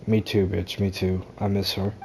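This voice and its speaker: A woman's voice: very deep voice, little pitch movement, moderate pace, dark tone, a little hiss or hum. A woman giving casual, sarcastic, slangy gameplay commentary with plenty of swearing. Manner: sarcastic, foul-mouthed, slangy. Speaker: game streamer.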